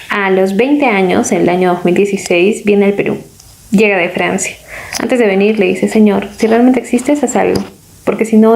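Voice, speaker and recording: A woman's voice: mid-range voice, gravelly, a little mellow, a little hiss or hum. A woman hosting a faith interview show, friendly and laughing along with her guest. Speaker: host. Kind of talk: scripted intro and interview.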